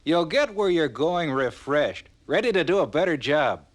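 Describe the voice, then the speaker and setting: A man's voice: on the high side, sing-song, normal speed. An actor playing a chummy, persuasive American car salesman in a 1950s training film. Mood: reassuring, persuasive. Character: smooth-talking, reassuring male 1950s car salesman. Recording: vintage 1950s film soundtrack.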